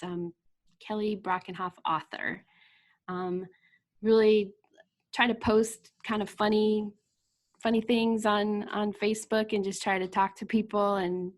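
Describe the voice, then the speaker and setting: Middle-aged woman author as guest; average pitch, friendly chatter, frequent laughs. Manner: chatty, laughs often, self-deprecating. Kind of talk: casual interview chat.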